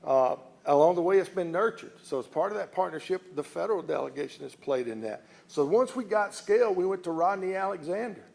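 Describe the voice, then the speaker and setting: A man's voice: high voice, expressive, a little fast, vocal fry, few pauses, a little mellow. A middle-aged or older man with a Southern US accent, an executive giving an informal public speech in a folksy, emphatic, plain-spoken way. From southern United States (Alabama and Louisiana). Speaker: company executive speaking at an announcement.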